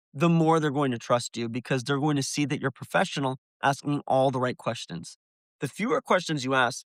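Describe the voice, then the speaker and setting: A man's voice: on the high side, a bit bright, no echo, studio quality. A man presenting an instructional video, explaining things step by step and addressing the listener directly. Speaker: window cleaner presenting a business tutorial. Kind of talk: instructional video narration to viewers.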